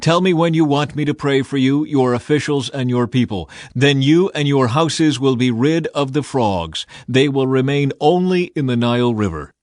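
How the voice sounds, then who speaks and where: A man's voice: medium pitch, expressive, normal speed, hardly any pauses, a little mellow, dry recording, clean studio sound, voice pushed up, natural voice. A man voicing a firm, earnest prophet in a scripture audiobook. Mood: firm, assured.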